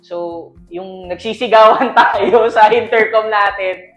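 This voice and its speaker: A man's voice: high voice, fairly even intonation, measured pace, fairly bright tone. A man with a Filipino accent chatting casually as a podcast co-host, slipping into English. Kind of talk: casual chat, mixing in English. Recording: roomy echo, music underneath.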